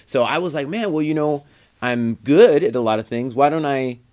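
A man's voice: mid-range voice, normal speed, darkish tone. An American man in his thirties, a guest musician talking casually in an interview. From United States. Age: 30s. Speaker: guest guitarist. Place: indoor music studio with stage setup.

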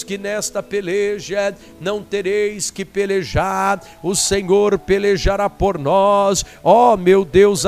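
A male preacher with a Brazilian accent prays in a distinctly high voice. He moves slowly through short, pleading phrases, repeating himself with fervor. Faint music plays behind him. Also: smooth. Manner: pleading, repetitive, punctuated with short interjections. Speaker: evangelical preacher leading a prayer. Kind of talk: fervent intercessory prayer.

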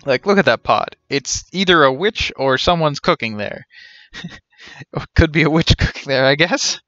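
Bright-voiced man streaming, slightly muffled sound. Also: on the high side, animated, unhurried, no echo. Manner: wry, joking asides. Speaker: game streamer. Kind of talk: casual live game commentary. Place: indoor room, webcam stream. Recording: dull lo-fi sound, music behind. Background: game music.

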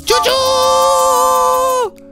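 A young man from Italy giving playful, excitable gameplay commentary, exclaiming often and talking to his viewers. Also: very high voice, drawn-out words, soft background music. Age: young adult. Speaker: gaming YouTuber, host.

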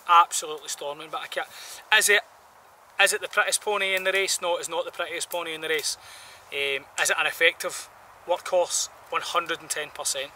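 A man's voice: on the high side, steady tone, a little fast, bright, forceful tone. A man, giving a casual, rambling gear review outdoors in colloquial language. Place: outdoors in a woodland camp. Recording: distant outdoor mic, some background noise.

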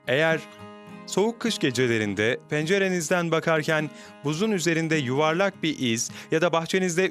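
A man narrating a dubbed cartoon fairy tale in an expressive, gentle storytelling way. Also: fairly high pitch.

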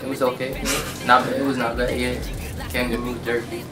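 A man whose first language is Korean talks in a relaxed, halting way as an interview guest, leaning on fillers and restarts. His voice sits in a middle register and is picked up from across a small, roomy studio. Music plays clearly in the background. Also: medium pitch. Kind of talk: casual interview chat. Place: small dark studio room, indoors. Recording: roomy, distant sound with music behind.

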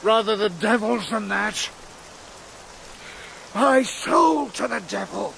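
Creaky old king, bitter and proud, pitched high, radio drama, bit of noise behind. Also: lively intonation, slow pace, fairly bright tone, exaggerated voice, rough recording. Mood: bitter, defiant. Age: older. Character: old, embittered, defeated English king and father. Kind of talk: historical radio drama acting.

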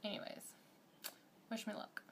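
A woman's voice: unhurried, a little hiss or hum. A young woman in her twenties chatting casually through her day in a home kitchen, filmed on a handheld phone camera. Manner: chatty, rambling, bubbly. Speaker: vlogger. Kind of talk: casual daily vlog chat. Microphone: phone camera at arm's length.

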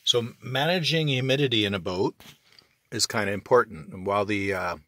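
A man chatting casually as he films his evening routine in a small sailboat cabin, relaxed, with restarts and friendly "eh?" tags. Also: lowish voice, a little fast, dry recording. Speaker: sailboat designer and vlogger. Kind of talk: casual how-to vlog narration.

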